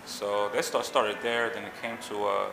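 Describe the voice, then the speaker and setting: A man from Haiti in his thirties, a pilot and jet company owner, telling his story in a relaxed, rambling interview with lots of fillers. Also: on the low side, normal speed, gravelly. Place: outdoors on a city street. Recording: echoey with loud background noise.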